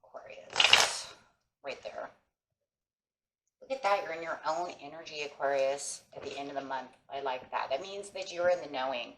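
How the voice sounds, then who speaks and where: A woman with a hoarse voice from a sinus infection, giving a casual tarot reading and speaking encouragingly to viewers as friends. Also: low-pitched, normal speed, roomy sound, very noisy. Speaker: tarot reader. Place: table with tarot cloth, indoors.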